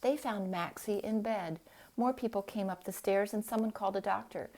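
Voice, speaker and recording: A woman reading a storybook aloud in an expressive style, with deliberate, dramatic phrasing. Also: mid-range voice. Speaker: storybook reader.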